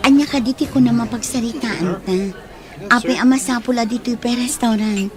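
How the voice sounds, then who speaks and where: A woman's voice: on the high side, a little fast, over music, a crowd in the background, close to an everyday voice. An actress playing a troubled, defensive young woman in love, in a radio drama. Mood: irritated.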